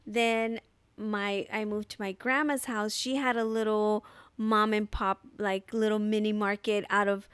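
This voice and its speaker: A woman in her thirties from El Salvador, a podcast guest recalling her childhood in an unhurried, thoughtful way, with many fillers, recorded on a boom mic in a living room. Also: level tone, fairly bright tone, clean tone, dry recording. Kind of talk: casual interview storytelling. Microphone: desk mic on boom arm.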